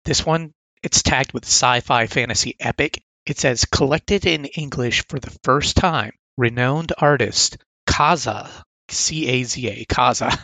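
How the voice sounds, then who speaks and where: A man's voice: medium pitch, pushed and bright, dry recording, low-quality recording. A man with a sore throat casually reviewing comic catalog picks, reading blurbs aloud with enthusiastic asides.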